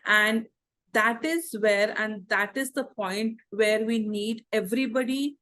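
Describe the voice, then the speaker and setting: A woman interviewing a guest, asking long, searching questions with frequent pauses and restarts. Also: fairly high pitch, steady tone, a bit bright. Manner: hesitant, many fillers and restarts.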